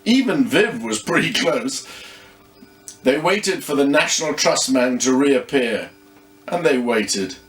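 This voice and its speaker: A man reading a story expressively, with dramatic pauses. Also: medium pitch, animated, a bit bright, echoey room, faint music behind. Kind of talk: scripted story narration.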